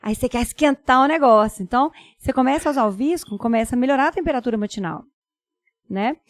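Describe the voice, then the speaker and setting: A woman hosting a podcast, talking in a brisk, chatty way and often checking in with her listener. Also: high voice, dry recording. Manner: brisk, chatty, tags questions with "né?". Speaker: podcast host, doctor. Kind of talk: conversational podcast talk.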